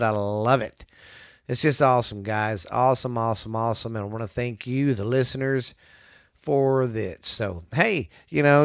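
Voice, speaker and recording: A man's voice: clean tone, dry recording, clean studio sound. A man hosting a podcast in a casual, rambling way, his voice stuffy and congested from a sinus cold. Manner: rambling, drops to low spooky whispers. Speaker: podcast host.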